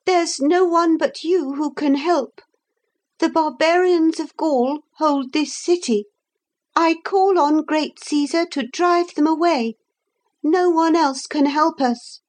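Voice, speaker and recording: Woman voicing an eager, high-pitched young boy. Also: a little slow. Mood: pleading. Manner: urgent, excited.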